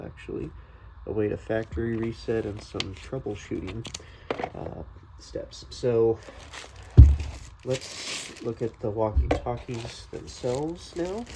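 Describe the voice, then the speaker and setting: A man's voice: medium pitch, vocal fry, noisy background. A man giving a casual, unscripted product review, thinking aloud in a hesitant, tentative way.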